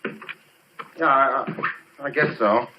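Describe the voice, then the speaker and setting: A man's voice: medium pitch, a little slow, lightly acted. An American man acting in a 1940s radio drama as a skinny, timid oil geologist with a hesitant, colloquial way of talking. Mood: nervous, shaky. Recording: muffled, scratchy 1940s radio recording.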